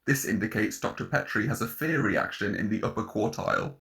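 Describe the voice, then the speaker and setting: A man's voice: lowish voice, brisk, vocal fry, fairly bright tone. A man playing the analytical sensor module of an android, reporting in a clinical, literal way in an audio drama. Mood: detached.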